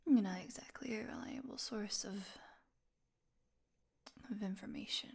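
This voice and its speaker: Woman acting a slow, halting, starving vampire woman. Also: medium pitch, steady tone, a little mellow. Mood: weak, delirious, sardonic. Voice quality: breathy, often falling to a whisper. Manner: halting, weary, sardonic. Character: starving captive female vampire, sardonic, proud, desperate. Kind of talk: intimate dramatic audio roleplay.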